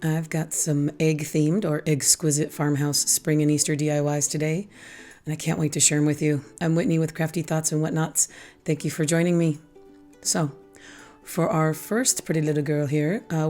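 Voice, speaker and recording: A hoarse, raspy-voiced middle-aged woman hosting a casual craft tutorial, chatty and teasing herself as she explains each step. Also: deep voice, a little mellow. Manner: chatty, self-teasing, laughs at her own jokes. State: hoarse, losing her voice. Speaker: craft channel host.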